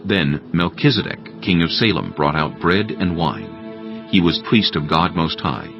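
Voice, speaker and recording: A man giving dramatic scripted narration of scripture in a measured, solemn manner. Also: low voice, lively intonation, gravelly. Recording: slightly muffled, music underneath.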